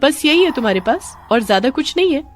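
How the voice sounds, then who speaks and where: A woman's voice: high-pitched, sing-song, fast talker, voice pushed up. A woman voicing fussy young female market shoppers in an audio storybook, chatty and demanding, with music behind. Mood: dismissive. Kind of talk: acted dialogue in audio storybook.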